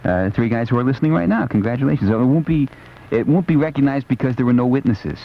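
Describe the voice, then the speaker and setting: Lively American radio DJ, dull old recording. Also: male voice, mid-range voice, quick pace, creaky. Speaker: late-night radio DJ host. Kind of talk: casual 1970s music radio chat. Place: radio studio. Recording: dull, noisy 1970s AM radio aircheck.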